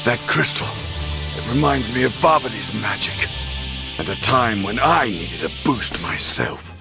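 Gruff, haughty anime warrior prince. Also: male voice, mid-range voice. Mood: smug, menacing. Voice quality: gruff, gravelly. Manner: haughty, forceful. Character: proud, hot-tempered adult alien warrior prince. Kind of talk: anime game battle acting.

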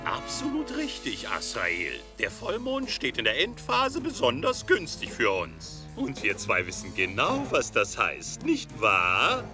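A man's voice: medium pitch, huge pitch swings, a little slow, few pauses, roomy sound. A man voicing a scheming, short-tempered, fawning evil wizard in a cartoon dub, theatrical and gloating. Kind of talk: cartoon villain dubbing. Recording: music behind the voice.

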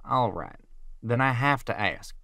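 A man's voice: mid-range voice, lively intonation, measured pace, vocal fry, sharp tone, no room sound, studio quality, heavily acted. A man playing a stern, commanding, skeptical werewolf elder in an audio drama, blunt and authoritative. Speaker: voice actor.

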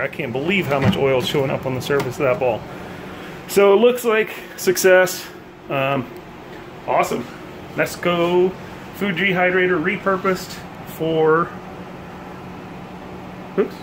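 A man's voice: fairly high pitch, background noise. A man in his thirties casually demonstrating a homemade gadget in his kitchen, thinking aloud with plenty of ums and uhs. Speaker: bowling hobbyist vlogger. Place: home kitchen, indoors. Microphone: phone held at arm's length.

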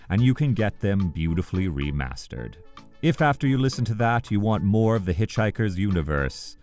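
A man's voice: sits low, darkish tone. A man hosting a scripted video countdown, narrating with enthusiasm and emphatic phrasing. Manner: enthusiastic, emphatic list-reading. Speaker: video host. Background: background music.

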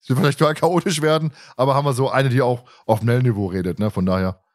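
A man's voice: medium pitch, fairly even intonation, fast talker. A man hosting a podcast in casual chat, joking and often chuckling as he talks. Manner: jokey, chuckles often while talking. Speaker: podcast host moderating the questions.

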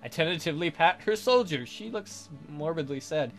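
A man reads visual novel narration aloud as a let's player. His pitch moves in a lively way, and faint guitar music plays behind him. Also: moderate pace.

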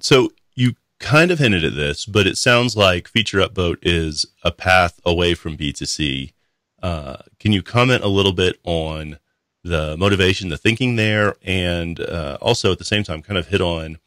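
A man hosting a podcast, asking relaxed, rambling questions of his guest. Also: low-pitched, moderate pace, dry recording. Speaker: podcast host. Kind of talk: casual interview chat. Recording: dry close sound.

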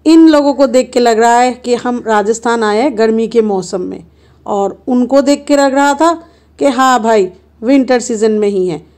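A woman's voice: fairly high pitch, a little slow, darkish tone. A woman narrating a road-trip travel vlog in a chatty, playful way, filming on a handheld phone from a car and at roadside stops. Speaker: travel vlogger.

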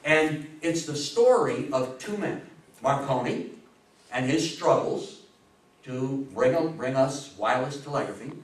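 A man in his fifties giving a history talk to an audience, emphatic and theatrical, with dramatic pauses. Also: mid-range voice, animated. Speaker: lecturer. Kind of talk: animated public history talk to a live audience. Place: meeting room with a seated audience, indoors. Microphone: distant camera microphone. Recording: echoey room, recorded from far off.

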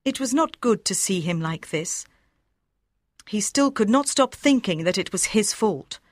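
A woman reading scripted story narration for learners in a measured, clearly enunciated way. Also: medium pitch, animated, no echo, clean studio sound. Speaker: audiobook narrator.

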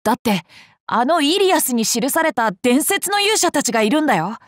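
A woman's voice: sits high, sing-song, brisk, no echo, studio quality. A woman voicing a cheerful, curious boy king for an anime game, boyish and polite, with playful self-assurance. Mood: excited, admiring. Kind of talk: Japanese anime game character lines.

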